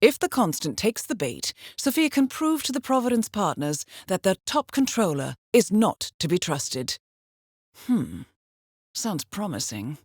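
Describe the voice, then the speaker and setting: An actress playing a composed, shrewd intelligence handler in a video game, briefing an assassin in a measured, formal way with dry understatement. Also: female voice, medium pitch, sing-song, darkish tone, breathy edge, no room sound, full character voice. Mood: composed, informative. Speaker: mission handler guiding an assassin. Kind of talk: scripted video game voice acting, briefing narration.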